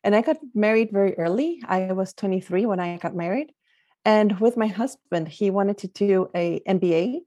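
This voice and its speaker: Middle-aged woman from Guatemala, warm mellow tone, dry close sound, thoughtful and hesitant. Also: medium pitch, normal speed, no echo. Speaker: guest, life coach. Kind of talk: conversational interview answers.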